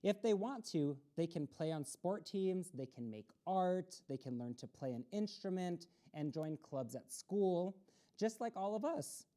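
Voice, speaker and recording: A woman with a low, soft-toned voice hosts a read-aloud for children. Her intonation moves up and down in a very lively way. She uses simple, instructive phrasing and puts questions to her young listeners. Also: measured pace. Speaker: story time host reading a picture book to children. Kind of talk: read-aloud storytime with explanatory asides.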